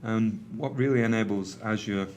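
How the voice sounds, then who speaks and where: A man's voice: lowish voice, moderate pace, a little mellow, a little hiss or hum. A middle-aged man with a Manchester accent, giving a conversational technical presentation to a room, with frequent ums and uhs. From England. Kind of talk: conference presentation. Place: meeting room with an audience.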